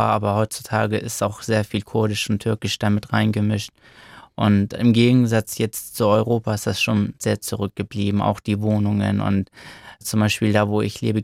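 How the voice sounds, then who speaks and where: A young man who grew up in Germany, giving soft-spoken answers in a casual interview. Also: lowish voice, monotone, normal speed, gravelly, dry recording, clean studio sound.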